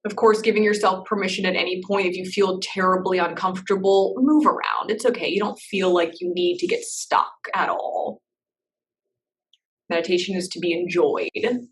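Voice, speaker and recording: A woman leading a guided meditation in a soft, slow, reassuring way, with gentle pauses between phrases. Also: mid-range voice, recorded from a distance.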